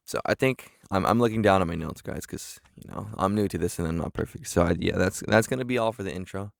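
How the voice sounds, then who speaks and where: Earnest young man with a deep, gravelly voice. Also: no echo, studio quality. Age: 20s. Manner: earnest, halting, many fillers and restarts. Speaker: vlogger. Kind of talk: casual talk to camera.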